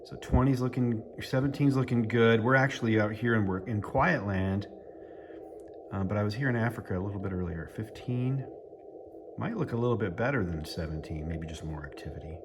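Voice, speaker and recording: A man's voice: fairly low pitch, a little fast, noisy background. An American man giving a casual running commentary as he operates a radio, thinking aloud and muttering to himself. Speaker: amateur radio operator and vlogger.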